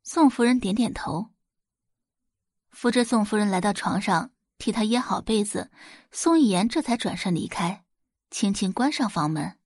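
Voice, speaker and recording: Female narrator, scripted audio drama, expressive storytelling, animated intonation, mid pitch, dry studio-close sound. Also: moderate pace, husky, no room sound.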